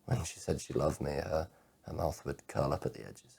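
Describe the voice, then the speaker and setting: A man performing a dramatic monologue as an anxious, lovelorn man with obsessive compulsive disorder, halting and repeating his words. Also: a bass voice, vocal fry, a little mellow, slightly rough, full character voice. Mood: sad, subdued. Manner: halting, stammering repetition of words. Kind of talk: dramatic monologue performance of spoken-word poetry.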